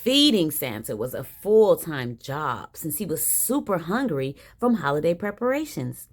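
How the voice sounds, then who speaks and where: Very animated woman reading a storybook. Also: deep voice, a little slow. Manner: expressive, dramatic emphasis. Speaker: storybook reader. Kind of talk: animated children's read-aloud.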